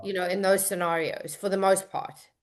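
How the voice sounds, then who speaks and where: A woman's voice: medium pitch, level tone, moderate pace. A woman hosting a podcast interview, asking questions and answering with friendly, short agreements. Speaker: podcast host.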